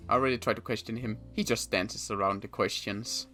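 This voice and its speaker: Man as a bright-voiced, grumbling camp guard. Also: fairly low pitch, fairly even intonation, fairly quick, faint music behind. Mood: reporting. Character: exasperated, dutiful male camp guard in a zombie apocalypse. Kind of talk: improvised video game roleplay.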